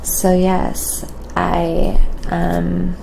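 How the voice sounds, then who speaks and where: Slow, very creaky-voiced woman in ASMR chat. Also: on the low side, completely flat delivery, mellow, a little hiss or hum, poor audio. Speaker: live stream host and painter. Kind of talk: ASMR live stream chat to viewers.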